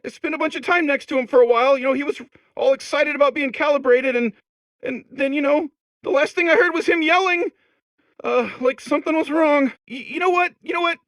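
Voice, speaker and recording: A man's voice: extremely high pitch, brisk, a bit bright, dry recording, poor audio. A man voicing an anxious, timid robot core in a comedic video game, stammering and rambling with worry. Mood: worried, rambling.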